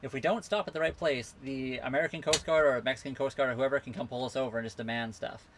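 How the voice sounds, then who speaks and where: A man with a voice of ordinary pitch talks loosely and easily, wandering from one answer to the next as he reads out chat questions. He streams from a phone aboard a sailboat at a marina, with a faint hum in the background. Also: fairly even intonation, quick pace, a bit bright. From western Canada. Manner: easygoing, rambling, reading out and answering chat comments. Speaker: livestream host answering viewer questions. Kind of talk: casual live Q&A chat.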